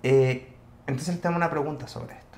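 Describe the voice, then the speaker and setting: A man's voice: on the high side, fairly even intonation, brisk, darkish tone. A Chilean man hosting a podcast, interviewing his guests in a conversational way. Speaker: podcast host. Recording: echoey room, voices in background.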